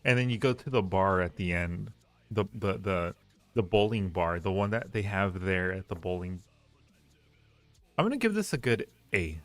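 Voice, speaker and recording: Man, lowish voice, livestream host, casual chat, playful teasing, rambling repetition, faint guitar music behind. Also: very animated, moderate pace, no echo.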